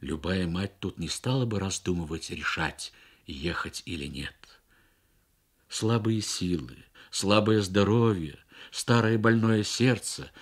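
A man reads a literary short story for radio in measured, expressive phrases with frequent pauses. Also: lowish voice. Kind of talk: literary narration, Soviet radio story reading.

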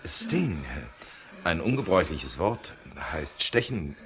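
An actor playing a deferential, learned young junior doctor in a 1960s radio crime play, speaking pedantically. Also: male voice, low-pitched, big pitch swings, slow, a crowd in the background, heavily acted. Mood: earnest, scholarly. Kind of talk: 1960s German-language radio crime drama acting.

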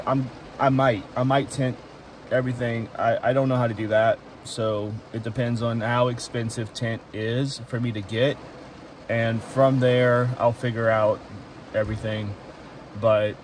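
A young man in his twenties giving a casual vlog update from inside a parked car, hesitant with many fillers and restarts. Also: medium pitch. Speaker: vlogger. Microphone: phone camera close to face. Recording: faint rain noise in car. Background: rain and car ambience.

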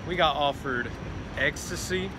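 A young man with a fairly high voice vlogs casually with friends. He talks in slang, recorded on a noisy city street. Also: a little slow. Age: young adult. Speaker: vlogger. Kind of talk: casual vlog chat with friends. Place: outdoors on a city street at night.

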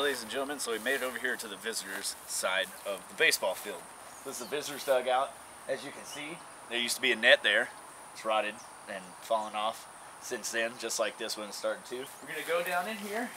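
Chatty young man touring an abandoned ballpark. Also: mid-range voice. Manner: folksy, chatty tour-guide talk. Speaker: urban exploration vlogger. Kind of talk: casual guided tour to camera. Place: outdoors at an abandoned baseball stadium. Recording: outdoor camera audio with noise.

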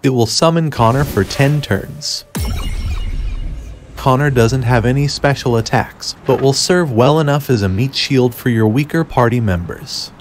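Male guide narrator: mid-pitched, measured, instructive walkthrough read over game ambience. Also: soft background music. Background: video game ambience.

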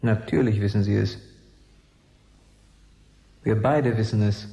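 A man's voice: fairly low pitch, takes it slowly, gravelly, dark tone, low-quality recording. A man acting as a shaken, stubbornly loyal biologist in a German radio play, earnest and grave. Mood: grave, haunted.

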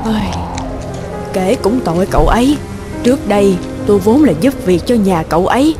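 A woman's voice: medium pitch, lively intonation, moderate pace, close to an everyday voice. A woman playing a kindly, motherly middle-aged housekeeper in a cartoon, caring and deferential. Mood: pitying. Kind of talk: cartoon dubbing. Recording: music loud behind the voice.

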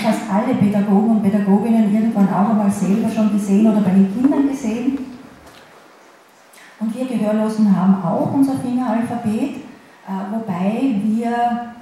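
A woman interpreter voicing a signed lecture in the first person, in a halting way with restarts and pauses, in a large wood-panelled hall. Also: mid-range voice, mellow, slight background noise. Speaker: sign language interpreter. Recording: very echoey hall, faint ambience.

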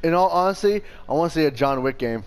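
A man's voice: fairly high pitch, lively intonation, fast, smooth, soft background music. A man hosting a gaming channel, chatting casually and enthusiastically with plenty of slang and swearing. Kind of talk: casual chat over gameplay.

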